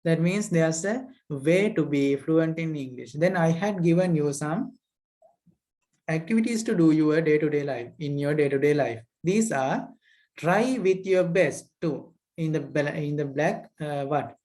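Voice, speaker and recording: A man's voice: a little high, measured pace, a little mellow. A man with a Sri Lankan accent teaching an English lesson. He poses rhetorical questions, tags lines with "right?", and repeats his key points. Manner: asks rhetorical questions and adds "right?" checks. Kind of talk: spoken lesson, lecturing students.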